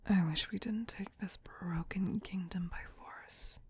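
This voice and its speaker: A woman voicing a possessive, tender vampire queen in a breathy, soothing, intimate whisper for an ASMR roleplay. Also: medium pitch, steady tone, a little slow, darkish tone, lightly acted. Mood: wistful, regretful.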